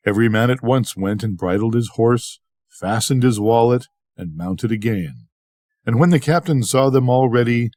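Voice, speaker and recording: An older man reading a scripted bedtime story in an expressive, measured storytelling manner. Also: on the low side, no room sound, clean studio sound. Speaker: storybook reader.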